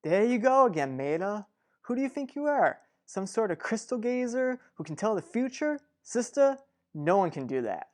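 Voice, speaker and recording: A woman's voice: mid-range voice, very animated, normal speed, a little mellow, lightly acted. A woman playing a level-headed, exasperated, protective sibling in an old-fashioned radio soap opera, matter-of-fact and chiding.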